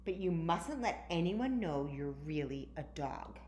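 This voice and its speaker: A woman voicing a confident, bossy little girl in a storybook, with an excitable, emphatic delivery. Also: low voice, measured pace. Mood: bossy excitement. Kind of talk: storybook read-aloud. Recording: roomy, echoey, faint background noise.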